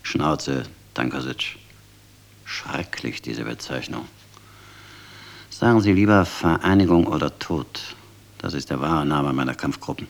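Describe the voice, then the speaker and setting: A ruthless conspirator colonel, with a very low voice and a brusque, commanding delivery. He sounds cynical and scornful, a spymaster used to giving orders in a radio drama. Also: measured pace, vocal fry, long pauses, heavily acted. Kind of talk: German radio drama acting.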